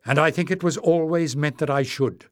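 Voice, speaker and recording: Young king for a fantasy audiobook, earnest, measured and resolute, mid-range, close dry sound; male narrator. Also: mid-range voice, no echo, natural voice. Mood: firm. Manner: firm, measured.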